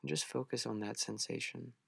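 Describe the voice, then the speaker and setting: Man, meditation instructor, gravelly low voice, gentle unhurried delivery, long pauses, very dry sound. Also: no room sound. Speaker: meditation guide. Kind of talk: guided meditation for beginners.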